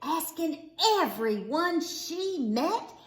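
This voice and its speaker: A woman narrates a children's picture book in a high, very animated voice. Her phrasing is slow and theatrical, and the room adds a clear echo. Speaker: storybook reader. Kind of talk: expressive children's read-aloud narration. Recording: roomy, echoing indoor sound.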